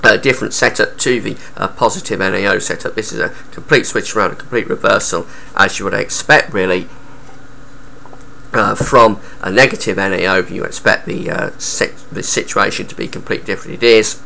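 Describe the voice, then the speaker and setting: Croaky man with a sore throat. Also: on the low side, sharp tone, slight background noise. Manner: halting, many uh fillers. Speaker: weather forecaster. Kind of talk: explaining weather charts.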